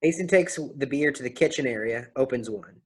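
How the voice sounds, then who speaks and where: A man's voice: mid-range voice, lively intonation, fairly quick. A man reading stage directions as scripted narration at a play reading.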